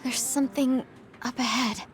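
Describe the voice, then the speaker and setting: An actress playing a soft-spoken, hesitant young psychic scout in an anime-style video game. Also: female voice, high voice, fairly even intonation, moderate pace, over music, lightly acted. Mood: watchful, hesitant.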